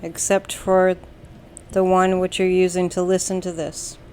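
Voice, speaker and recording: A woman with an American accent leads a guided meditation, giving calm, soothing instructions in a deliberate way with long pauses. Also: lowish voice, slight background noise. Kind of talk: slow guided meditation narration.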